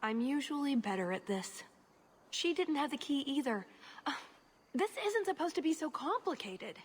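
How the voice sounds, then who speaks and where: An actress playing a plucky, optimistic schemer with a playful yet earnest manner in a video game. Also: female voice, sits high, big pitch swings, moderate pace, big-room sound. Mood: scheming, hopeful.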